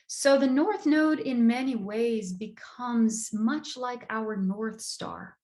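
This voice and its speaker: A woman from Brazil giving an online astrology lecture, measured and emphatic, repeating words for stress. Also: fairly high pitch, a little mellow.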